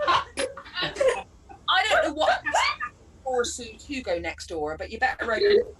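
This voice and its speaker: A woman's voice: sits high, huge pitch swings, takes it slowly, far from the mic, very noisy. A woman from Bristol with a West Country accent, doing a chatty, sarcastic stand-up set over a video call. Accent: West Country English. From Bristol, England. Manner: chatty, sarcastic, bursts of laughter. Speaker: stand-up comedian.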